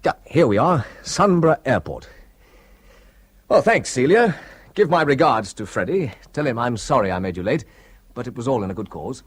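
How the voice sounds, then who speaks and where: An earnest, husky-voiced searcher. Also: male voice, mid-range voice, animated, moderate pace. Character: determined young man searching for his sister. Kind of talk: 1970s radio drama acting.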